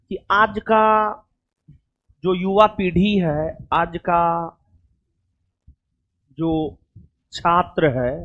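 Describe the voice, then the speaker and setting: A man's voice: high voice, a bit bright. A man, a coaching class teacher talking informally to his students in a rambling way, full of fillers. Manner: rambling, conversational, many fillers like "matlab" and "theek hai".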